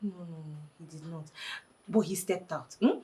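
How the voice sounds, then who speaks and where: A woman's voice: fairly low pitch, moderate pace, warm tone, lightly acted. A woman playing a respectful young wife in a film drama, with a polite, deferential manner. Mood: polite, welcoming. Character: respectful young wife receiving her mother-in-law.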